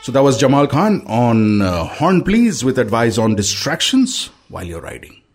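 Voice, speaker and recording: A man's voice: mid-range voice, very animated, normal speed, faint music behind. A man co-hosting a podcast, introducing segments and guests in an easygoing, announcer-like way. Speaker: podcast co-host. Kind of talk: radio-style podcast hosting.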